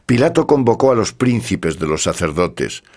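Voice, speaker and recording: A man narrating scripture from a script in a solemn, measured manner. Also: on the low side, very animated, a little mellow.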